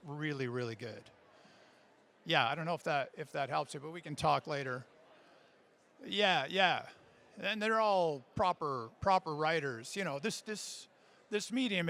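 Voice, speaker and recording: Man, higher-pitched voice, workshop presenter, animated and informal, stop-start phrasing. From Canada. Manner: animated, informal, halting, self-interrupting. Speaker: speaker giving a writing workshop, audio fiction creator. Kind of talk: conference talk question and answer.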